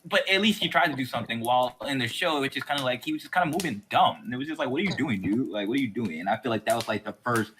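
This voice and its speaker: Bright-voiced man, casual and opinionated. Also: mid-range voice, lively intonation, racing, few pauses, slight background noise. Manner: rambling, colloquial, repeats for emphasis. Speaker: podcast host. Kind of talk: casual opinionated chat about TV.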